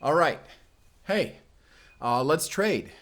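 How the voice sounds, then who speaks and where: An actor playing a wary, blunt young Spanish vampire slayer in an audio roleplay drama. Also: male voice, on the high side, animated, takes it slowly, a bit bright, exaggerated voice. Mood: guarded, businesslike. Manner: blunt, guarded. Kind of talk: cinematic audio roleplay acting.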